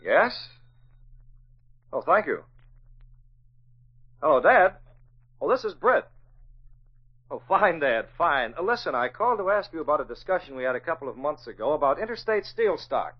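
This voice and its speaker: A man's voice: a little high, sing-song, moderate pace, pauses often, breathy edge. A man with an American accent playing a suave young newspaper publisher in a muffled, hissy 1940s radio drama. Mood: brisk, friendly.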